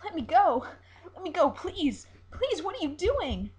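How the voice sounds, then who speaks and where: A woman's voice: extremely high pitch, sing-song, measured pace, a little mellow, voice pushed up, heavily acted. A woman voicing a naive young mermaid in a story, with a breathless, emotional delivery. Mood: frantic, anguished. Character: naive young female mermaid, lovestruck, pleading and frantic.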